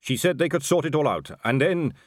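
A man's voice: a little high, lively intonation, a little fast, no room sound, clean studio sound, pitched above the performer's own voice. A man voicing a nervous, yielding guard captain who speaks hesitantly and respectfully, in a storybook reading. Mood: nervous, faltering.

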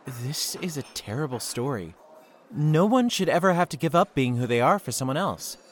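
A man's voice: on the high side, big pitch swings, moderate pace. A man playing an awkward, skeptical young boyfriend in an audio drama, speaking in a hesitant, dry, blunt way. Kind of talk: naturalistic audio drama acting. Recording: noticeable background ambience noise.

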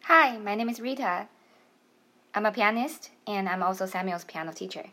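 Brief: young woman from Taiwan, pianist guest, friendly and plain-spoken, mid-pitched. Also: normal speed, pushed and bright. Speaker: pianist and piano teacher. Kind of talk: interview guest.

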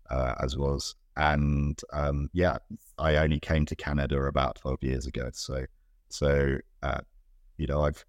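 Guest: British man, middle-aged, extremely deep voice, hesitant and considered. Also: no echo, studio quality. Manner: thoughtful, many ums and restarts. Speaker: guest, innovation director. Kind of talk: casual interview answers.

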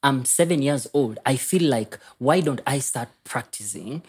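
A man with a Kenyan accent speaks as a guest in a relaxed interview. His voice sits at a middle pitch. He tells personal stories casually, slipping between English and Swahili and repeating words to press a point. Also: a little slow, breathy edge.

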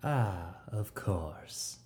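A man reading a scheming male fright who coaxes and condescends in a calm, measured way. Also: sits low, full character voice. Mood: smug. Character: scheming male fright, silken manipulative villain. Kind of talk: audiobook character voice.

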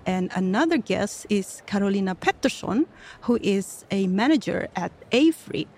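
A woman's voice: mid-range voice, expressive, normal speed, darkish tone. Woman, podcast host, upbeat, promotional, live event, mid-range voice.

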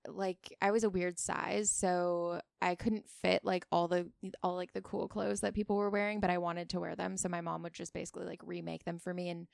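A woman's voice: fairly low pitch, flat delivery, a little fast, vocal fry, hardly any pauses, darkish tone, no room sound, studio quality. A young woman from Hawaii chatting casually as a podcast guest, talking in a loose, rambling way full of filler words. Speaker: guest, small business owner. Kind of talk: casual chat.

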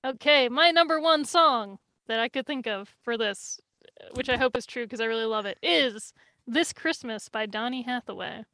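Higher-pitched American woman, dry close sound. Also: normal speed, sharp tone, no echo. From Philadelphia area, US. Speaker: podcast guest. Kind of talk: casual chat.

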